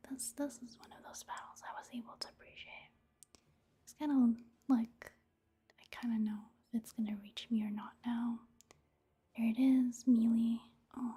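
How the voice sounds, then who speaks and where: A woman gives ASMR game commentary in a very breathy, whispery voice. She takes her time, murmuring gently and unhurriedly in an even, mellow tone. The delivery is soft and rambling throughout. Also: a little high. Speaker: ASMR gaming video host.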